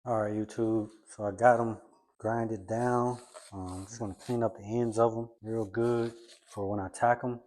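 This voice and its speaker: A man's voice: mid-range voice, darkish tone. Male DIY car-channel host, mid-range voice, informal walk-through delivery while working. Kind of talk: casual how-to vlog narration while working.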